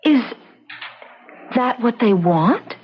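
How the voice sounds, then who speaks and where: Anxious, high-voiced young wife. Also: female voice, lively intonation, very slow, slight background noise. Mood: anxious, bewildered. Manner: hesitant, fretful. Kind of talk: radio mystery drama acting. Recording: dull lo-fi radio recording.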